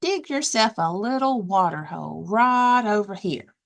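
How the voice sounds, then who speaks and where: A woman voicing a brisk, confident male duck, a bossy helper in a children's storybook, giving emphatic instructions. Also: medium pitch, expressive, gravelly. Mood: confident, advising.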